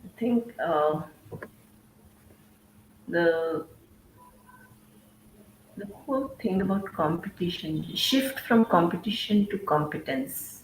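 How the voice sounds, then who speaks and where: Echoey room, woman on a panel talking slow, slightly low voice. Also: lively intonation. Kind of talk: conversational discussion. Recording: roomy echo, faint ambient noise.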